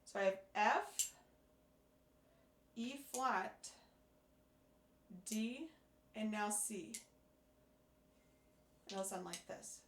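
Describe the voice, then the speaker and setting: A woman teaching a beginner percussion lesson, patiently explaining step by step while hoarse from losing her voice. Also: mid-range voice, very slow, pauses often, a little hiss or hum. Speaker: music teacher. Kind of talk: instructional demonstration. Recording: echoey room.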